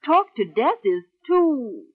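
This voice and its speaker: An actress playing a wealthy, indulgent wife and theatre producer, fond and patronising, in 1940s radio drama style. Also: female voice, sits high, very animated, takes it slowly, exaggerated voice. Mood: fond, amused. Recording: muffled vintage radio recording.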